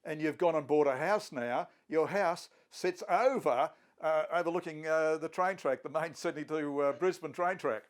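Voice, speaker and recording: A male host interviewing panel guests, prompting them with lively questions. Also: a little high, moderate pace, hardly any pauses.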